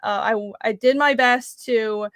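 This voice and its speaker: A woman's voice: on the high side, steady tone, unhurried, fairly bright tone, smooth, no room sound, studio quality. A young woman from Taiwan talking about her comics in a casual interview, earnest and thoughtful with many fillers. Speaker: comic creator guest.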